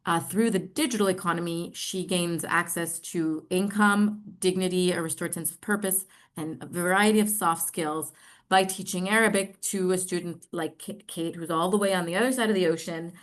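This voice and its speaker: Middle aged american lady doing an online presentation, kinda low voice, lots of pauses. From New York, United States. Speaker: social enterprise representative presenting.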